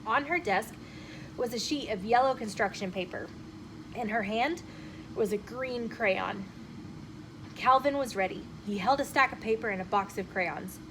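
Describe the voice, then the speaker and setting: A woman storyteller reading a children's chapter book aloud in an expressive, emphatic way. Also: fairly high pitch, moderate pace. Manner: animated, emphatic phrasing with acted dialogue. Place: inside a bookshop. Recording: noticeable room noise behind the voice.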